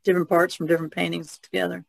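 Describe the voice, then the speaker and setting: A woman's voice: lowish voice, level tone, racing, vocal fry, no room sound. A woman chatting casually as a panelist on an art podcast. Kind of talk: casual chat.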